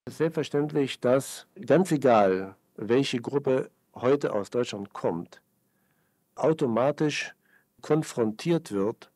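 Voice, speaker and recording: A man as an earnest travel agency employee, giving a deliberate, formal statement in a radio interview. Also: mid-range voice, a little mellow, no room sound.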